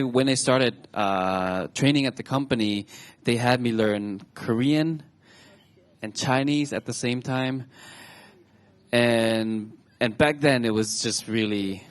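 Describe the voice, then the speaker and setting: A man in his twenties from Thailand, telling personal stories to an audience on a stage talk show in a chatty, halting way with frequent restarts. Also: on the low side. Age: 20s.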